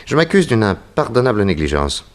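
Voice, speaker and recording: A private detective in an old 1960s radio serial. His voice is low and authoritative. He speaks in a measured, probing way, suspicious and sure of himself. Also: sing-song. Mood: grave. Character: shrewd, suspicious male detective, composed and authoritative. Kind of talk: 1960s French radio mystery serial acting.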